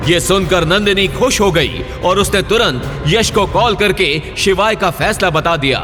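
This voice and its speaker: A man's voice: high voice, measured pace, sharp tone. A man giving scripted, dramatic narration for a Hindi audio story. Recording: long reverb, faint music behind.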